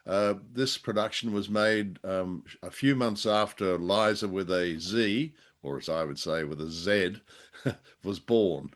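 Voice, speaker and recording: Low-voiced man, hesitant and wry. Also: slow pace. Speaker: podcast co-host. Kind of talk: conversational discussion of old radio drama.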